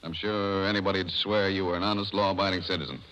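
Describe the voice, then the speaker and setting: An actor playing a brisk, courteous but probing police officer in old radio drama style, plainspoken and official. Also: male voice, low voice, fairly even intonation, a bit bright, poor audio. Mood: affable. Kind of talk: 1940s radio acting.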